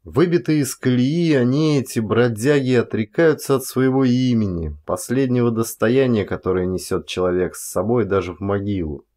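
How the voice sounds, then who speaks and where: A man's voice: mid-range voice, normal speed, hardly any pauses, dark tone. A man reading aloud in the scripted manner of an audiobook narrator. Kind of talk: dramatic scripted literary narration.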